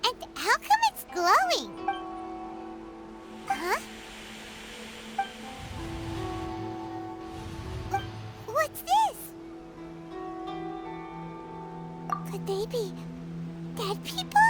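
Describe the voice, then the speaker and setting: A woman voicing a tiny, excitable childlike fairy companion with a squeaky, chirpy delivery in an anime game. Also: slow pace, pauses often, heavily acted. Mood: puzzled. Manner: chirpy, exclamatory, refers to herself by name. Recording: music behind the voice.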